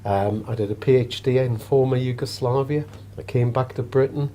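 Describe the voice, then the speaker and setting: A middle-aged archaeologist calmly narrates, speaking in a measured, plain way with the odd filler. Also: male voice, medium pitch, slight background noise. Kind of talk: recorded narration of career.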